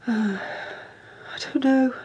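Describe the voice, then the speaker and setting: An actress playing an anxious, evasive British wife in shock, speaking haltingly in a naturalistic radio drama. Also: female voice, high-pitched, fairly even intonation, darkish tone, husky, lo-fi sound, full character voice. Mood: tense, numb. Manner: hesitant, halting.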